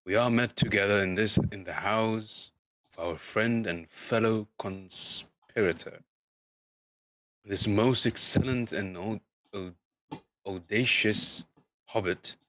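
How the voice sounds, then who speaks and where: A grave, self-important old dwarf chieftain, played by a man addresses his company in a storybook tale. The voice sits very low and moves slowly through grand, wordy phrases. A long reverb hangs around it. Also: fairly bright tone, a little hiss or hum. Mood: solemn, pompous. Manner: pompous, slow speechmaking. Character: solemn, pompous old male dwarf leader, grandly long-winded.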